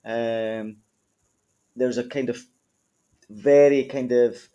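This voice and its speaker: Scottish man with a mellow voice. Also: mid-range voice. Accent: Scottish, Edinburgh. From Scotland. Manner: conversational, frequent ums and you-knows. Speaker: football club general manager. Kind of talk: podcast interview.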